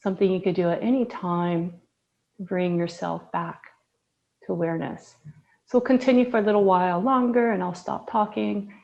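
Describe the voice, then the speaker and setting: A woman teaching yoga, softly and soothingly guiding a breathing meditation with long pauses. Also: lowish voice, fairly even intonation, gravelly, muffled recording. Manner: slow, soft, soothing guidance with long pauses.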